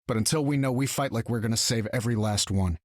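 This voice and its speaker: An actor playing an assured, witty armored inventor superhero in a video game, brisk and smooth-talking. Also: male voice, medium pitch, dry recording, studio quality, lightly acted. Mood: resolute.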